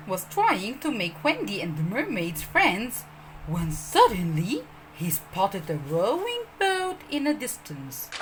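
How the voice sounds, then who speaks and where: A woman telling a children's story as host, expressive and speaking directly to young listeners. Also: medium pitch, slight background noise, rough recording.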